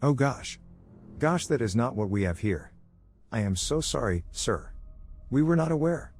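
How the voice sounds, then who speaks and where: A man voicing a polite, flustered young woman clerk, calm and rehearsed, in a narrated horror story. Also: mid-range voice, unhurried, voice pushed up. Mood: apologetic, flustered. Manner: calm, polite, rehearsed customer-service talk.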